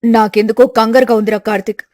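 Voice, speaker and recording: A woman's voice: fairly high pitch, little pitch movement, quick pace, warm tone, no echo, studio quality, close to an everyday voice. A woman voicing a worried, weary village mother-in-law who frets and complains, in a family story. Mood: anxious. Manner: fretful, complaining.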